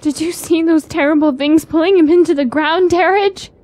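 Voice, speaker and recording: Anxious female traveler, fantasy audio drama, acted by a woman. High, mellow voice, questioning and earnest. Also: steady tone, normal speed, dry recording. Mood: frightened. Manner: formal, earnest, pleading phrasing. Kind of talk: fantasy radio drama acting.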